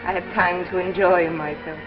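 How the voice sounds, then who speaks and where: An actress playing a bitter, unhinged wife in a 1950s radio drama, taunting and melodramatic. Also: female voice, fairly low pitch, fairly even intonation, normal speed, close to an everyday voice. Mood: mocking, cold. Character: bitter, vengeful wife, unhinged by a drug, threatening. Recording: dull old radio recording, faint music.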